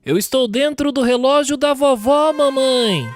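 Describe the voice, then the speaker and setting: Woman as a high-pitched, childlike little goat. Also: unhurried, soft background music. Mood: tearful. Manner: childlike, plaintive. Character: small, frightened, trusting young goat kid.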